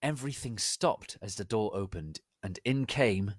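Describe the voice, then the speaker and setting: A man's voice: on the low side, moderate pace, no echo, clean studio sound. A man giving a theatrical, exaggerated dramatic reading of comic fan fiction. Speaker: reader.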